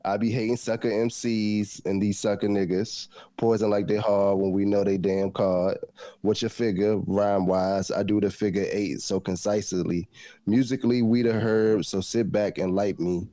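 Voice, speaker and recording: A man's voice: on the low side, little pitch movement, normal speed, dark tone, dry recording. A man co-hosting a podcast, laid-back and laughing often in casual chat. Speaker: podcast co-host.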